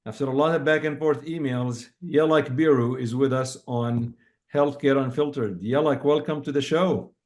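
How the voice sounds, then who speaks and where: A man hosts an interview podcast in a casual, loose way. His voice sits at a middle pitch. He keeps the talk informal and chatty, full of "like" and "um". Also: moderate pace, darkish tone. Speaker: podcast host.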